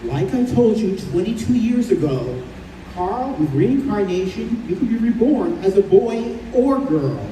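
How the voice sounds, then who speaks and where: A very mellow male voice, an actor playing a calm, knowing spirit who escorts the departed. The radio play delivery comes through with room echo and some distance from the mic. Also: high-pitched, measured pace. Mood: knowing, warm. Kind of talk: old-time radio play acting. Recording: roomy live stage recording, distant, faint noise.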